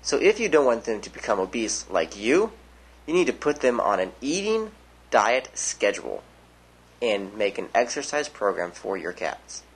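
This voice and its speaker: Young man as a deadpan mock anchor. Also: on the low side, moderate pace, breathy edge, low-quality recording. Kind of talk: amateur mock newscast.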